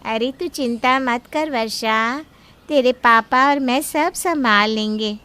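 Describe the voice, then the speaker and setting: A woman acts as an accommodating female relative in a family story. Her voice is very high and bright, eager to oblige and reassure. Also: slow pace, clear, resonant tone. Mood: reassuring. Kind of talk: acted Hindi audio story.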